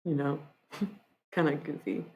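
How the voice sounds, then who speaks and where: Young woman from michigan, low gravelly voice, casual live stream chat, jokey and self-mocking. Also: steady tone, a little slow, dark tone, slight background noise, rough recording. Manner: joking, self-mocking, freestyles rap lines.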